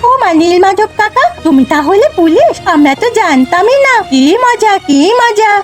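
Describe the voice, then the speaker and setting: A woman voicing an excitable, wide-eyed young village girl in a cartoon. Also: very high-pitched, moderate pace, over music. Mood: excited, curious. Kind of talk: Bengali cartoon voice acting.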